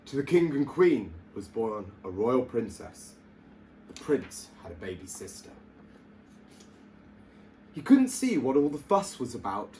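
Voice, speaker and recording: Man reading expressively, slow and animated. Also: mid-range voice, slight background noise, rough recording. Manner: theatrical, emphatic storyteller delivery. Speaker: primary school teacher reading storytime. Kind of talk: expressive children's story reading.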